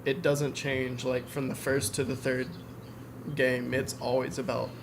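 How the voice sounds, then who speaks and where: A man's voice: medium pitch, little pitch movement, unhurried. A man chatting casually as a podcast guest, in loose phrasing full of filler words. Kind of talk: casual chat. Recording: clearly noisy background.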